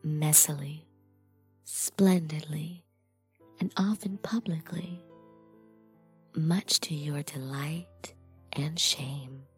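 A woman with a breathy voice that often slips into whispering, delivering scripted adult hypnosis audio in a dominant, deliberate, commanding manner. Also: deep voice, fairly even intonation, faint music behind. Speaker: erotic hypnosis performer.

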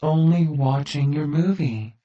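A narrator reading a hypnosis script with slow, soothing, repetitive suggestions. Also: mid-range voice, mellow. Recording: distant, dull lo-fi sound.

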